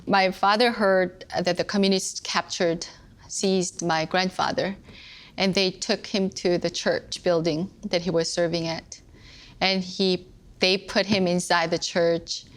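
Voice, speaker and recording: A middle-aged woman from South Korea with a Korean accent, giving a reflective personal testimony, softly spoken with many pauses. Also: on the low side. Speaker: seminary professor.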